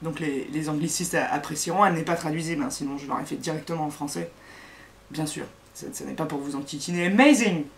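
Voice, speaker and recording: A man hosting a satirical comedy monologue, sarcastic and mocking, with a halting delivery full of restarts. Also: a little high, vocal fry, slight background noise.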